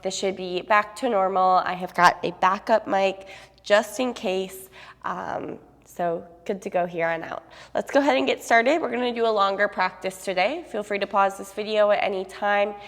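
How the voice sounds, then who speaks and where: Sniffly woman calmly cueing a yoga class. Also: medium pitch, moderate pace, big-room sound. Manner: calm, steady cueing with soft fillers. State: a bit sniffly from a cold. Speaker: yoga instructor. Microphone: clip-on mic.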